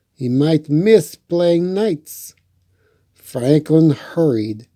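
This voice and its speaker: A man's voice: on the high side, warm tone. A man hosting storytime, reading a children's book and adding folksy, chatty asides. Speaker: storyteller and host.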